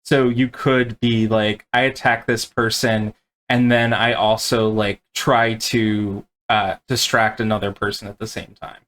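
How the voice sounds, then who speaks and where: A man acting as game master, explaining rules and narrating tabletop play in a halting, unscripted way. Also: lowish voice, level tone, a bit bright, poor audio.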